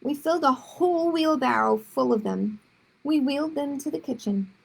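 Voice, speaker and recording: A woman's voice: high voice, expressive, normal speed, gravelly, rough recording. A woman reading a children's story aloud expressively, with short explanatory asides to young listeners.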